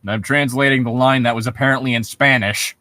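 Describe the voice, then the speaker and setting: A man's voice: medium pitch, steady tone, fairly quick, sharp tone, dry recording. Wry man reading and riffing, dry close sound. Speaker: podcast co-host reading and mocking internet horror stories. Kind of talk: reading aloud with casual joking commentary.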